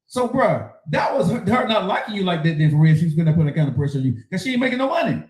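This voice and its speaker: A man's voice: high-pitched, very animated, fast, a little mellow, echoey room. A man, hosting a heated casual debate and pressing his point over interruptions. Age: 50s. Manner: insistent, talks over others, repeats himself. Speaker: show host.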